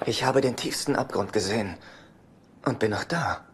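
A man's voice: lowish voice, moderate pace, slightly rough. An actor playing a self-pitying, heartbroken middle-aged father in a dubbed sitcom, whiny and dryly sarcastic. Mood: dramatic self-pity. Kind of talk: German-dubbed sitcom acting.

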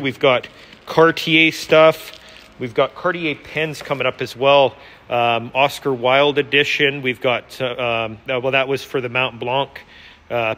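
A man giving a casual, unscripted walkthrough as an auctioneer, with an enthusiastic salesman's pitch, in a large indoor warehouse. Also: bright, forceful tone. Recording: reverberant large hall.

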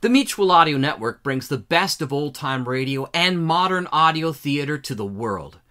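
Bright, animated male podcast announcer. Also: a little high, normal speed. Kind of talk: scripted promotional outro.

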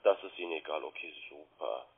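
Casting: man, raspy mid voice, phone-quality sound, polite and keen call centre sales patter full of questions. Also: a bass voice, brisk. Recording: muffled, band-limited phone line.

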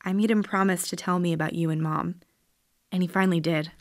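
A woman's voice: lowish voice, fairly even intonation, brisk, dry recording, clean studio sound, close to an everyday voice. A woman playing an earnest, questioning girl raised in space, in a science fiction audio drama.

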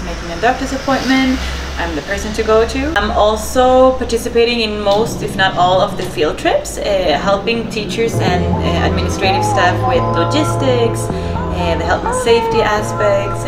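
A woman's voice: mid-range voice, echoey room, noisy background. A woman in her forties from Sweden, with a Swedish accent, introducing herself and her job as a student services coordinator. She talks to the camera in a friendly, matter-of-fact way, with a dry streak of sarcasm. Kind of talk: scripted staff introduction to camera. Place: small indoor room, seated on a sofa.

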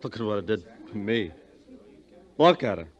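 An actor playing a bitter, self-pitying bridegroom with a sour, drawn-out delivery in a 1940s radio drama. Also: male voice, on the low side, animated, muffled recording. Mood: bitter, sullen.

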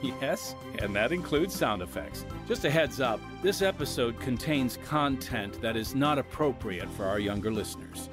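A man's voice: medium pitch, lively intonation, moderate pace, hardly any pauses, over music. A man hosting and narrating a scripted audio drama podcast with a dramatic storyteller's delivery. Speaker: host and narrator.

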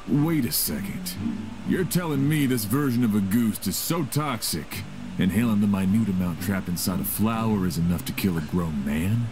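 Low-voiced skeptical man played by an actor. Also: expressive, natural voice. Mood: skeptical, puzzled. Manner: questioning, incredulous, deliberate. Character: skeptical, puzzled adult man questioning the case. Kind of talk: scripted game dialogue. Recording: music behind the voice.

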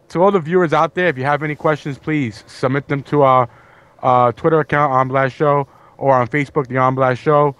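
A man's voice: medium pitch, fairly bright tone, smooth. A man co-hosting an online show, reading out viewer questions with hesitant fillers.

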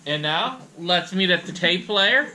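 Bright-voiced middle-aged man, slow and halting. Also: high voice, lively intonation, a little hiss or hum. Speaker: host demonstrating audio gear. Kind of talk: casual how-to vlog talk. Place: room in a house. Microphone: camera a few feet away.

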